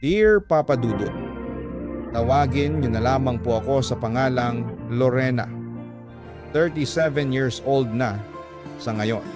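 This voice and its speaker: A man's voice: mid-range voice, unhurried, long pauses, over music. A man narrating a scripted dramatic letter as the host of a radio drama.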